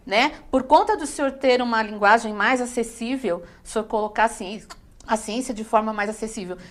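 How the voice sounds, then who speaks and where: Female host, teacher, polite formal interviewer, somewhat high voice, hesitant fillers. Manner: polite, addresses the guest formally, many fillers. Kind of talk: educational broadcast interview.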